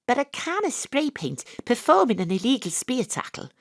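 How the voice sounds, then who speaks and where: A woman playing a brisk, tongue-in-cheek private detective with a Welsh accent, acting and narrating in a comic audio drama. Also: fairly high pitch, big pitch swings, dry recording, clean studio sound. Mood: baffled.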